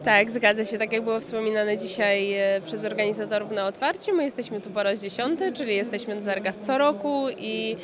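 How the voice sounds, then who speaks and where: A woman's voice: mid-range voice, fairly quick, few pauses. A woman in her thirties giving an enthusiastic, explanatory interview as a company marketing manager, speaking into a handheld microphone. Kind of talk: spontaneous trade fair interview. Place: indoor exhibition hall in front of backdrop. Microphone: handheld interview microphone just below frame. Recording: busy trade fair hall crowd noise. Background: crowd hubbub.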